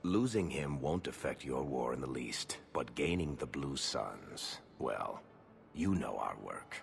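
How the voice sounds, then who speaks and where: An actor playing a smug, mocking mercenary gang leader in a video game, with a gravelly voice and sneering manner. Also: male voice, extremely low pitch, expressive, unhurried, soft background music, full character voice. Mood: dismissive. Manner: sneering, smooth-talking, mocking.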